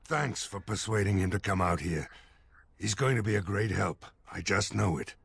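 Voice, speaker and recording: An actor voicing a gruff, weary, plain-spoken rustic village mayor in a fantasy video game. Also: male voice, fairly low pitch, moderate pace, fairly bright tone, no echo, exaggerated voice. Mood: cautiously relieved.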